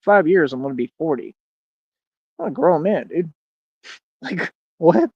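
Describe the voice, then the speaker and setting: A man's voice: a little high, big pitch swings, unhurried, darkish tone, slightly rough, no room sound, highs cut off. A man in his thirties rambling casually on a livestream in a boastful, defiant way, with slangy talk full of "man" and "bro". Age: 30s. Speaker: streamer.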